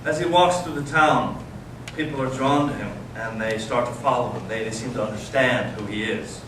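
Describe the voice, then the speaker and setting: A man's voice: medium pitch, normal speed, close to an everyday voice. Man acting intense intellectual brother, echoey stage. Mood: hushed storytelling. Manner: dramatic, swinging from hushed to impassioned. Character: Ivan, intense intellectual elder brother, anguished and mocking. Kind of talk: staged readers theater monologue and storytelling. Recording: echoey stage, distant mic, clear noise.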